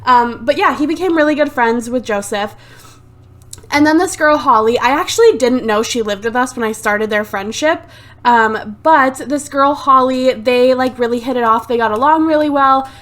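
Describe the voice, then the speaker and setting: A woman's voice: high voice, moderate pace, a bit bright. A woman giving casual, rambling commentary over a life-sim game on a desktop USB mic. She is sick with a sore throat, sounds a little phlegmy, and fills her talk with "like" and "um". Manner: chatty and rambling, lots of "like" and "um". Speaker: let's play host. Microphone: desktop USB microphone.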